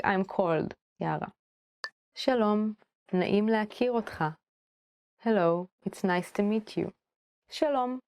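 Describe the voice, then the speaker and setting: A woman teaching a language lesson on video, playful and upbeat, switching between slow explanations and quickly spoken example phrases. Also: medium pitch, a little mellow, no room sound, studio quality. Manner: playful and upbeat, with frequent laughs.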